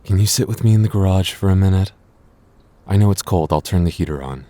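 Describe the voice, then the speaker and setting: A man's voice: low voice, quick pace, mellow, natural voice. A man playing a jealous, apologetic boyfriend in an audio roleplay, speaking earnestly with hesitant stammers. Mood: earnest, subdued. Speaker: voice actor.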